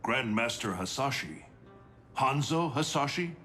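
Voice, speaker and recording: An actor playing a solemn, protective god and leader in a video game, formal and measured. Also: male voice, mid-range voice, animated, pushed and bright, faint music behind. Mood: urgent. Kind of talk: video game cutscene acting.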